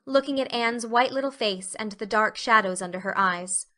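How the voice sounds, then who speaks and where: A female narrator reads literary prose for an audiobook. Her voice is a little high in pitch and clearly bright. The delivery is scripted storytelling. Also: moderate pace.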